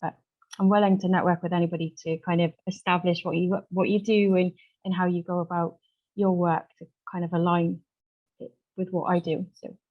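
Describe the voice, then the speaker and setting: A woman speaking off the cuff as a support worker in a group discussion, earnest and halting as she pieces her sentences together. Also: fairly low pitch, little pitch movement, dull audio. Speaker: support worker, survivor engagement officer. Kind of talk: unscripted contribution to a group discussion.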